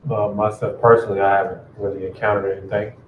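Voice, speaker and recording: Man, panelist, hesitant, low flat voice, far from the mic. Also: quick pace. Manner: hesitant, trails off. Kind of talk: brief panel answer. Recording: distant, muffled and echoey with noise.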